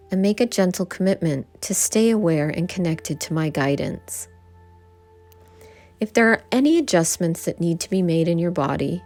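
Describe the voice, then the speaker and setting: A woman guiding a yoga nidra meditation, giving gentle instructions in short phrases with pauses between them. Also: on the low side. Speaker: meditation guide. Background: background music.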